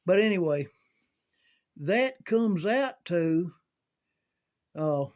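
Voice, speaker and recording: An older man telling casual, rambling recollections in a halting, folksy way, as if thinking aloud. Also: sits high, expressive, a little mellow, highs cut off. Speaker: amateur storyteller reminiscing about CB radio.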